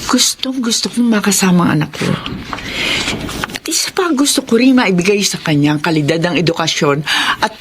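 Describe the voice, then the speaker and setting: A woman's voice: mid-range voice, a little hiss or hum, natural voice. A woman with a Filipino accent playing a middle-aged, well-off, remorseful mother who pleads earnestly in a radio drama. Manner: earnest, pleading, swooping emphasis.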